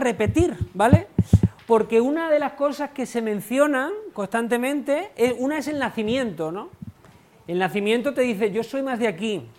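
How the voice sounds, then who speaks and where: A woman teacher with a fairly high voice guides a group of children through a discussion. She asks follow-up questions and repeats each child's answer back to them. Between their answers she offers brief, encouraging affirmations. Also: a little mellow. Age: adult. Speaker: teacher leading a class discussion.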